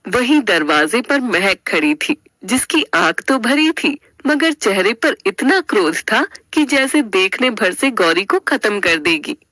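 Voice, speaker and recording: A woman's voice: medium pitch, lively intonation, measured pace, hardly any pauses, a bit bright, dry recording, low-quality recording. A woman storyteller giving a dramatic scripted narration of a family story.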